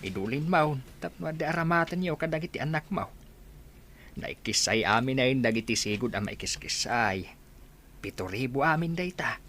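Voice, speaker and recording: An actor playing a blunt shop owner who pays the young man, talking in an animated, coaxing way. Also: medium pitch, moderate pace, slight background noise.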